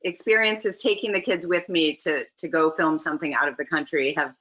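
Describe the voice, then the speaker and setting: A woman's voice: sits low, steady tone, a little fast, a bit bright, low-quality recording. A woman in her forties from Canada, chatting easily as a guest on a video call interview, laughing often. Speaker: actress guest. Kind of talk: casual interview chat. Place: home room, video call.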